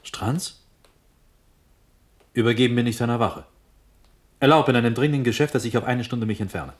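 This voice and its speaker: An actor playing an impetuous, anguished young Prussian prince in a classical verse radio drama, breathy and declamatory. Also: male voice, mid-range voice, normal speed, close to an everyday voice. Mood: urgent. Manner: impassioned declamation swinging from whispers to outcries.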